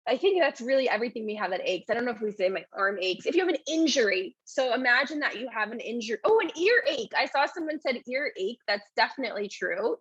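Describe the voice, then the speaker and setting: A woman's voice: on the high side, fairly bright tone, slightly rough, dry recording, lo-fi sound. A woman teaching a live online English lesson in a chatty way, reading out and answering questions from the chat.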